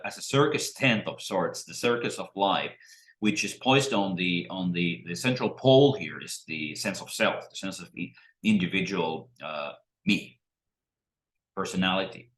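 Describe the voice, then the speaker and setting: A middle-aged man, teaching in a halting, musing way with many restarts, talking to a webcam at his desk. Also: deep voice, a bit bright. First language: Finnish. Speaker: spiritual teacher. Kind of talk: unscripted talk to camera. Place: small room at home, indoors. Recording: roomy echo.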